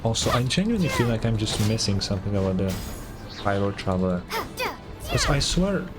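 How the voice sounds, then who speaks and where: A man's voice: lowish voice, huge pitch swings, a little fast. A man streaming a game with casual, offhand, sweary commentary, reading some of the text aloud. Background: game music.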